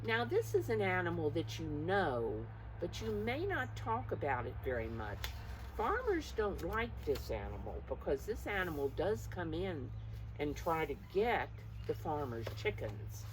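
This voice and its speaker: Female preschool teacher, low voice with lively swings in pitch, encouraging and emphatic, interactive storybook read-aloud, faint background noise. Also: a little slow. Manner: encouraging, simple explanations with questions to the listeners. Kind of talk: interactive picture-book read-aloud for young children.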